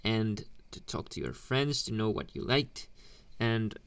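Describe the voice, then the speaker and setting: A man playing a teasing delinquent bully boy with a secret soft side, in a taunting, drawn-out anime ASMR roleplay. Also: lowish voice, big pitch swings, creaky, clear, resonant tone, heavily acted. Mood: softer, hesitant. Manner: taunting, drawn-out, questioning hums. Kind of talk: ASMR boyfriend roleplay, slow intimate speech.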